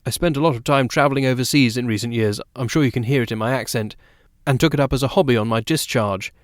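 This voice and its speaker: A man's voice: mid-range voice, quick pace, no room sound, clean studio sound, natural voice. A man voicing a nervous young man who speaks carefully and stammers now and then. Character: nervous young man hiding his origins.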